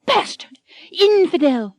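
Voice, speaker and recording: A woman voicing a headstrong young heroine, shrill and melodramatic. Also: expressive, moderate pace, a little mellow, no echo. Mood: indignant.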